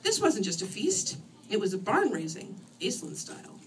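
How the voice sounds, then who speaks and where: A woman reading her first-person novel aloud in an expressive, theatrical and wry manner. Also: mid-range voice, moderate pace, slight background noise. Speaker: author reading own novel. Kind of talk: animated first-person story reading to an audience. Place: screening room, live reading. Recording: distant microphone in a room.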